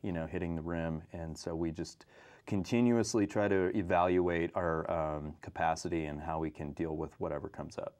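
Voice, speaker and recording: Low-voiced American man, informal interviewee. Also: fairly even intonation, normal speed, darkish tone. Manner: informal, frequent "you know" asides. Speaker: fire dispatch manager, interview guest. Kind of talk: unscripted interview conversation.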